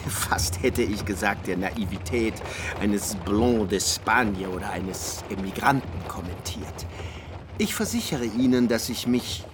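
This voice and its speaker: An actor playing a pompous, chatty academic who savours ornate, learned phrases in a German literary radio drama. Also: male voice, fairly low pitch, background noise. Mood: pompous, admiring. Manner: ornate, hesitant, savouring his own erudite phrases.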